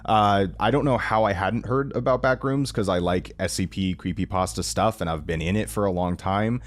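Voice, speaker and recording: A man's voice: fairly low pitch, normal speed. A young man giving a casual, rambling review to camera in an informal, enthusiastic, self-deprecating way. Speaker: reaction video creator.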